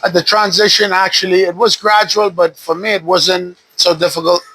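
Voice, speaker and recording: A man in his fifties from the Bahamas, a retired boxer calmly telling his story in an interview at a boxing gym. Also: high voice, moderate pace, slightly rough, dry recording, rough recording. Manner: relaxed, steady storytelling with frequent "uh". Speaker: retired pro boxer, guest. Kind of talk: casual interview.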